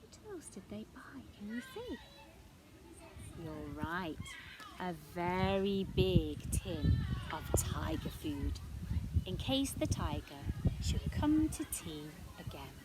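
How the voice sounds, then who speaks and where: Female storyteller for young children, very lively intonation, unhurried, chatty questioning asides, noticeable background noise. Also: lowish voice. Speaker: storyteller reading a picture book. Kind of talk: expressive read-aloud for young children with asides.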